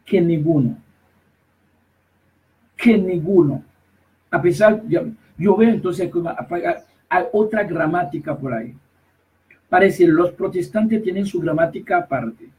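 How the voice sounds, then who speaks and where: A man with a fairly high voice preaches insistently, repeating himself and breaking off mid-phrase. He stands some distance from the microphone, so his voice sounds set back in the space. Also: darkish tone. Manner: emphatic, repetitive, halting with restarts. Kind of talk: preaching commentary.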